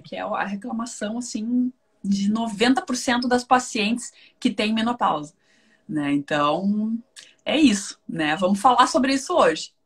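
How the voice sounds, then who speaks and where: A woman's voice: mid-range voice, a bit bright. A woman with a southern Brazilian accent, explaining conversationally as a guest nutritionist in a live online talk, chatty, with emphatic repetition and frequent tag questions.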